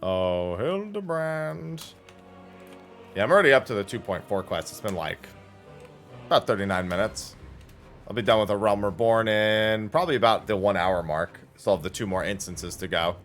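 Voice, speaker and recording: Male game streamer, deep register with swinging intonation, blunt and opinionated off-the-cuff talk, music underneath. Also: animated, moderate pace, a bit bright. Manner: blunt, opinionated, casual swearing. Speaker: livestreamer. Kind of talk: casual gaming stream chat.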